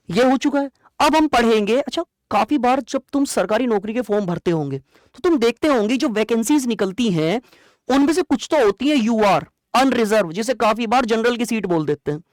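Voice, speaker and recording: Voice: mid-range voice, no room sound. Exam teacher, close dry sound. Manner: asks rhetorical questions and answers them; conversational, repeats key points, checks understanding. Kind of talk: explanatory classroom lecture.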